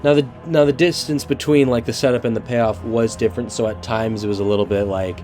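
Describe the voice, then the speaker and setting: A man's voice: mid-range voice, fairly even intonation, brisk, a little mellow, faint music behind. An eighteen-year-old man hosting a casual spoken movie review, sounding congested from allergies, loose and profane with lots of fillers and restarts.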